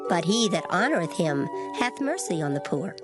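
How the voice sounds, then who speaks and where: A woman giving a dramatized reading of scripture in formal old English, measured and solemn. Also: lowish voice, big pitch swings. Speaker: scripture reader. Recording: loud music behind the voice. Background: music.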